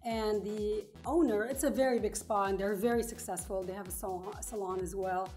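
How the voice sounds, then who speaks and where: A woman's voice: mid-range voice, steady tone, brisk, darkish tone, faint music behind. A middle-aged woman from Lebanon, a native Arabic and French speaker, telling personal stories in conversational English as an interview guest. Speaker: interview guest, engineer turned small business owner.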